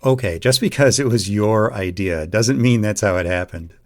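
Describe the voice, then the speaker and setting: A man acting as a gentle, reassuring young boyfriend in an intimate audio roleplay, speaking in a soothing, affectionate way. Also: lowish voice, normal speed, natural voice. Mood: casual, happy.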